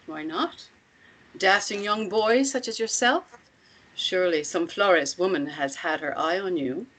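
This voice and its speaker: A woman playing an older, self-assured commune matriarch in a script table read, speaking in a measured, deliberate way with pointed rhetorical questions. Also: lowish voice, a bit bright, rough recording. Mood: teasing.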